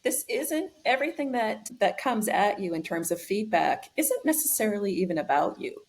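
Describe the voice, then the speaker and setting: A woman speaking as a coach in a conversational interview, explaining in a measured way. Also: mid-range voice, very animated, a little mellow. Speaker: leadership coach, podcast guest.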